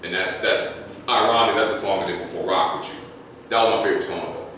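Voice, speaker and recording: A young adult man, a rapper guest, talking casually and slangily on a podcast, his voice jumping up and getting loud when he gets animated. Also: quick pace. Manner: laid-back, slangy, climbs high and loud when animated. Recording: echoey room, distant mic, faint noise.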